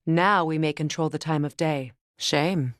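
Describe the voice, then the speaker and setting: An actress playing a proud, battle-hardened middle-aged warrior goddess in a video game, with a measured, commanding, dry manner. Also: female voice, low-pitched, no room sound. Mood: calm, reflective. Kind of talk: video game character dialogue and battle callouts.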